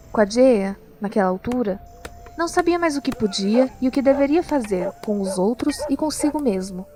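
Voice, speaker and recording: A woman's voice: a little high, darkish tone. A woman with a Brazilian accent narrating a scripted audio drama as storyteller. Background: music.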